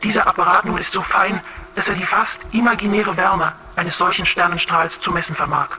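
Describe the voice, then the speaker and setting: A woman's voice: on the low side, fairly even intonation, a little fast, bright, forceful tone, soft background music, low-quality recording. An actress playing a serene, clairvoyant female android in a radio drama, speaking with dreamy eloquence. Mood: wistful, lofty. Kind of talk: German radio drama acting.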